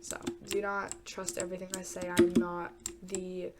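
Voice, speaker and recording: A woman's voice: fairly low pitch, monotone, normal speed, heavy background noise. A congested young woman casually telling a true crime story while gaming, hesitant and rambling with many fillers and restarts. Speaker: gamer talking true crime. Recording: loud keyboard typing behind.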